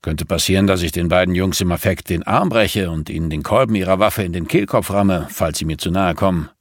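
A man's voice: low voice, brisk, no room sound, studio quality. Man playing a growling, mocking tough guy. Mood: menacing. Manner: growling, deadpan. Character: sullen, mocking man with a violent streak.

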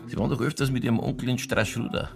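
An actor playing a casual, persistent police detective in a radio drama, asking matter-of-fact questions with a Bavarian accent. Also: male voice, mid-range voice, fairly even intonation, rapid-fire, gravelly, music in the background, close to an everyday voice. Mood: coaxing, persistent. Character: low-key male police detective, persistent and dryly casual. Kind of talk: naturalistic radio drama dialogue.

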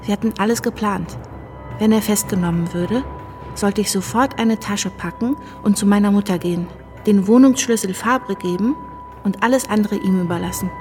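A woman acts an anxious young wife telling her own story in a radio play. The voice is mid-pitched and confiding, with faint music behind it. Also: moderate pace, darkish tone, lightly acted. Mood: confiding, worried. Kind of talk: first-person radio drama narration.

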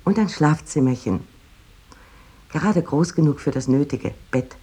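Proud, delighted lady of letters, husky. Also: low-pitched, lively intonation, measured pace, warm tone, heavily acted. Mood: delighted, detailed. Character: proud, delighted 19th-century woman writer describing her new little house. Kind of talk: dramatized reading of a letter.

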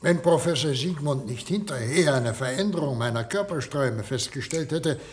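A man acting in a radio play as an earnest professor leading an experiment, explaining in a measured, serious way. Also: mid-range voice, expressive, close to an everyday voice. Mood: earnest, explanatory. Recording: noisy old radio play recording.

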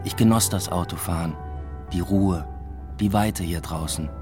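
Music runs under a deep male voice. a weary, brooding academic and family man telling his own story in a radio drama, understated and inward. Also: unhurried. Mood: contemplative. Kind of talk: scripted first-person radio drama narration with dialogue. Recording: music behind the voice.

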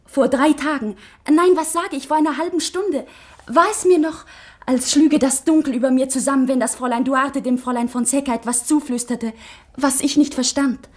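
A woman's voice: high voice, normal speed, darkish tone, close to an everyday voice. An actress in a literary German radio play voicing an earnest, anxious convent schoolgirl, confiding and intense. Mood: troubled, earnest. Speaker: radio drama actor.

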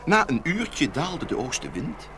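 A man narrating a scripted fairy tale as an expressive storyteller. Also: fairly high pitch, a little slow, noisy background.

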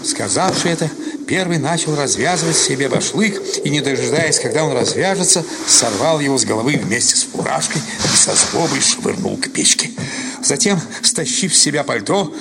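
A man's voice: mid-range voice, animated, moderate pace, creaky, very noisy. A man narrating a literary story in a dramatic radio style. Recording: loud music behind the voice.

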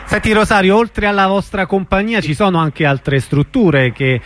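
A man hosts a radio interview in a fairly high-pitched voice. His delivery is conversational and informal, as an interviewer talking with a guest. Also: normal speed, fairly bright tone.

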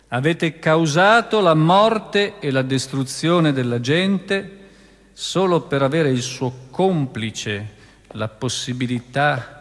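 Guy doing a stern old-time judge, grave and deliberate, big echoey hall. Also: mid-range voice, pitched below the performer's own voice. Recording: reverberant stage hall with music.

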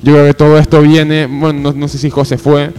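A man's voice: a little high, completely flat delivery, a little fast, a little mellow. Young man, radio co-host, rambling, self-correcting, faint music behind. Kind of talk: casual chat.

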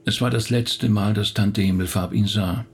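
Middle-aged Swiss rabbi in a radio play, reserved and courteous, creaky husky voice, medium pitch. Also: male voice, steady tone, normal speed. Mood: guarded, reflective. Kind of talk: radio drama acting.

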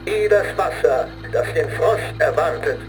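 An actor playing a grim zealot who recites a training manual deliberately, like an incantation, in a radio play. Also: male voice, fairly high pitch, animated, poor audio. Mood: solemn, ominous. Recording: studio radio play with music underneath. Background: music.

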